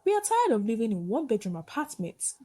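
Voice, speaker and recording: A woman with a Nigerian accent voicing a hopeful, easygoing wife and mother in a folktale, soft and reassuring. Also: fairly high pitch, very animated, fast talker, slight background noise.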